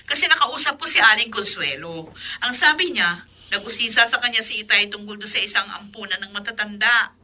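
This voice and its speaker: A woman's voice: medium pitch, fairly quick, sharp tone. An actress playing a weary, dutiful middle-aged mother in a radio drama. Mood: anxious, practical. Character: weary dutiful mother caring for her ageing father.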